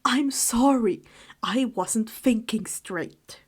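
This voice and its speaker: Earnest teen boy wizard, voiced by a woman, kind and apologetic, fantasy storybook, higher voice. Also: drawn-out words, husky, heavily acted. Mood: soft, apologetic.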